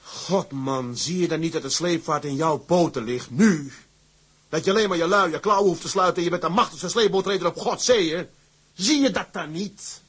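A man playing a fierce, driven tugboat captain in an audio drama, speaking bluntly and insistently with a husky voice. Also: on the high side, normal speed. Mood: fierce, urgent. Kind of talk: Dutch audio drama acting.

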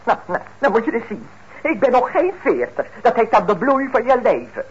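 A bitter, manipulative mother in an old radio play. She nags and pleads in a high voice that swings widely. The recording is dull with some noise. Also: sing-song, normal speed, slightly rough, exaggerated voice. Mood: bitter self-pity. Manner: nagging, wheedling, exclamatory.

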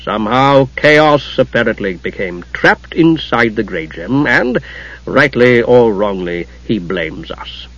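A man voicing a tall, grim, solemn male god with a grave, measured manner in an audiobook. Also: mid-range voice, expressive, fairly bright tone, muffled recording, full character voice.

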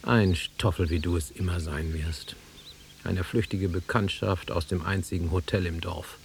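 An actor playing a nostalgic, easily offended middle-aged man with a husky voice in a naturalistic radio drama. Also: deep voice, steady tone, a little slow, slight background noise. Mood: bitter, irritated. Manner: wistful, insistent, easily offended. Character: nostalgic, touchy middle-aged man revisiting his childhood fields.

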